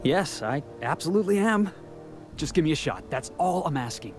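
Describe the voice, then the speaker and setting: An eager young American drifter from a video game, cocky and earnest. His delivery is very animated, with bravado that often trips over itself. Music plays behind him. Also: a little high, normal speed. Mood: cocky, pleading. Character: eager, cocky young male drifter seeking crew work. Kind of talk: video game character acting.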